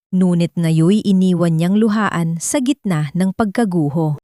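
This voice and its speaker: A woman's voice: on the low side, mellow, dry recording, clean studio sound. A woman with a Filipino accent reading a dramatic scripted story as narrator.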